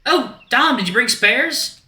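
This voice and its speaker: A man's voice: very high-pitched, expressive, slow, sharp tone, echoey room, pitched above the performer's own voice, heavily acted. A man voicing an eager, friendly young male animal character in a story, with a bubbly manner. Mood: eager, pleading.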